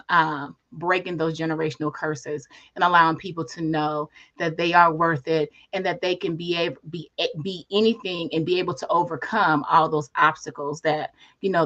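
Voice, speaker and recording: A woman presenting a workshop online with a soothing, even temperament. Her voice sits a little low, and she often checks in with a quick "right?" between points. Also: lo-fi sound. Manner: soothing, repeated "right?" tags.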